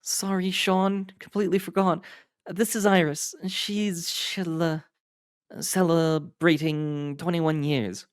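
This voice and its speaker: A man's voice: a little high, little pitch movement, slow pace, clean tone, dry recording, clean studio sound, voice pushed up. A man voicing a blunt, hard-drinking older woman who talks brashly and swears.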